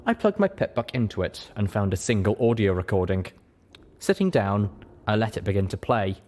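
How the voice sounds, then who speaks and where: Guy narrating a fan fiction story, lowish voice, bit of background noise. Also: sing-song, brisk, darkish tone. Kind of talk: scripted first-person story narration.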